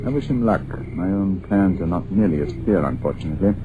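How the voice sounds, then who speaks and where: Lower-voiced measured sleuth, vintage radio play, hissy old broadcast. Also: male voice, expressive, phone-quality sound. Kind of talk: 1940s radio drama acting.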